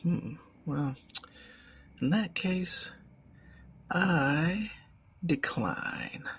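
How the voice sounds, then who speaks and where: A man voicing a suave, mocking, predatory demon in an ASMR roleplay, speaking formally and eloquently and often dropping into a breathy whisper. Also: fairly high pitch, expressive, extremely slow, fairly bright tone, exaggerated voice. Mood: smug.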